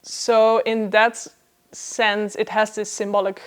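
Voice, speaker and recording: A woman giving a reflective interview answer, halting as she searches for the right words. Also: mid-range voice, level tone, smooth. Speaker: theatre artist being interviewed.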